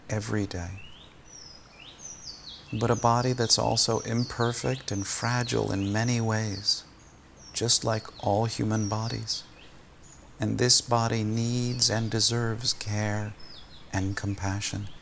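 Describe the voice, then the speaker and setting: Slow, low-voiced man guiding meditation. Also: vocal fry, slight background noise. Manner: gentle, soothing, unhurried phrasing with long pauses. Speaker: meditation teacher leading a body scan. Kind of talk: guided meditation.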